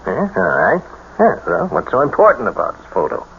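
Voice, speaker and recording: An actor playing an excitable, slangy male small-time crook and nervy sidekick in a radio drama. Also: on the low side, a little fast, vocal fry, full character voice. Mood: gruff, sceptical. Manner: streetwise, colloquial, excitable. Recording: dull, noisy old radio recording.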